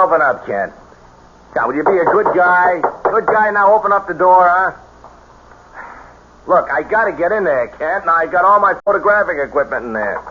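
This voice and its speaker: An actor playing an excitable, slangy male small-time crook and nervy sidekick in a radio drama. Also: a little high. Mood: coaxing. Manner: streetwise, colloquial, excitable. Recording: dull, noisy old radio recording.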